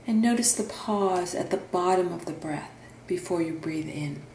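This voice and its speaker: Female meditation guide, mellow and slightly low, soothing and instructional with long pauses. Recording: roomy echo, faint background noise.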